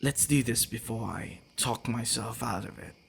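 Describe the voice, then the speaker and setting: A man playing a possessive, teasing, devoted vampire boyfriend in an intimate ASMR roleplay, speaking in a hushed, breathy way with hesitant pauses. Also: mid-range voice, slight background noise. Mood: hesitant, tender.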